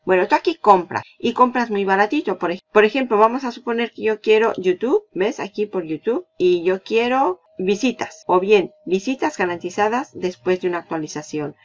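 A woman's voice: medium pitch, lively intonation, normal speed, few pauses, muffled recording. A woman teaching a screen-recorded online marketing tutorial, chatty and explanatory, often repeating her points for emphasis. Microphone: voice-over over screen capture.

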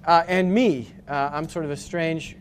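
A man's voice: on the high side, steady tone, measured pace, a little hiss or hum. Man explaining slides at a conference. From New England. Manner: explanatory, plain, with frequent uhs and restarts. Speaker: conference speaker. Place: hotel conference room with projector screen.

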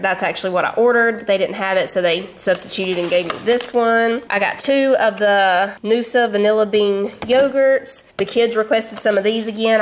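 A woman's voice: medium pitch, slight background noise. A woman in her thirties with a Southern American accent, chatting casually through a grocery haul in her home kitchen. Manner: chatty, folksy, addresses viewers as y'all. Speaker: vlogger.

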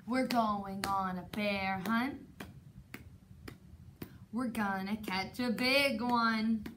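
A woman's voice: medium pitch, drawn-out words, a bit bright, slight background noise. A young woman teacher reading a picture book aloud to children in a dramatic, sing-song way, recorded in a classroom from a camera a few metres away. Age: young adult. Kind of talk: children's storytime read-aloud. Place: indoor classroom, sitting on carpeted floor.

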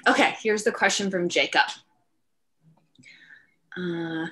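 A middle-aged woman with a low voice hosts an informal Q&A as a director. She talks off the cuff from home over a headset on a video call. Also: slow pace, pushed and bright. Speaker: writer-director hosting a Q and A. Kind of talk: casual, unscripted Q and A talk.

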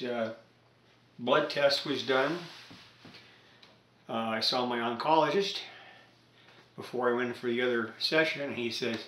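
An older man talks at his home workbench in a relaxed, meandering way, stopping often for fillers and restarts. His pitch sits in a middle range. The small workshop gives his voice a noticeable roomy echo. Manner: rambling, hesitant, many fillers. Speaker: radio repair hobbyist vlogger. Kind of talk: casual workbench vlog. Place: cluttered home workshop with electronics bench.

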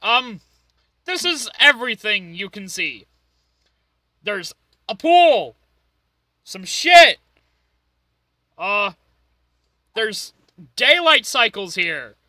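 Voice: medium pitch, very animated, extremely slow, long pauses, sharp tone, no echo, full character voice. A performer voicing a manic, foul-mouthed, bossy cartoon ringmaster in an amateur fan dub, theatrical with hesitant asides. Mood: showy explaining.

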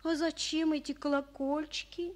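Woman as a very high-voiced curious little boy. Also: flat delivery, moderate pace, close to an everyday voice. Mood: curious, eager. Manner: polite, earnest, questioning. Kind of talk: 1940s radio play acting.

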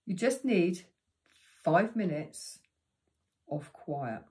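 Croaky older woman teaching meditation. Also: fairly low pitch, lively intonation, a little mellow. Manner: chatty and practical, unhurried with drawn-out words. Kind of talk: giving tips to camera. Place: living room indoors. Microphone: camera in front of her.

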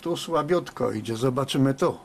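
A man's voice: mid-range voice, normal speed, creaky, heavy background noise. A man working as a radio operator, giving call-outs and casual commentary a desk indoors. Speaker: amateur radio operator, host.

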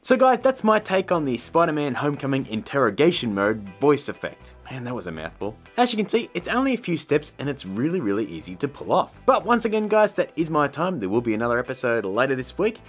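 A man hosting a casual, scripted software tutorial in a jokey, slangy, informal way. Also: mid-range voice, big pitch swings, brisk, hardly any pauses, faint music behind. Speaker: tutorial host.

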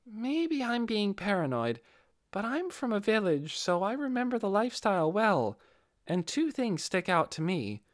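A man's voice: sits high, sing-song, measured pace, no room sound, clean studio sound, voice pushed up. A man voicing a sober, watchful adventurer who explains methodically in a fantasy audiobook. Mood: serious, wary.

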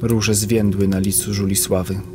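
A man's voice: fairly low pitch, little pitch movement, normal speed. Male storybook narrator over music.

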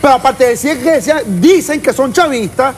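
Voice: high voice, fairly quick. Insistent Venezuelan panelist, faint background noise. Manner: insistent, repeats points for emphasis. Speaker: political show panelist and reporter. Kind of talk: unscripted political discussion.

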